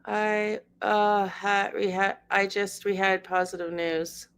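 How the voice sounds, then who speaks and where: A woman's voice: medium pitch, flat delivery, takes it slowly, smooth. A middle-aged woman, hosting a casual livestream, reading news aloud and chatting with viewers by name. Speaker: livestream host.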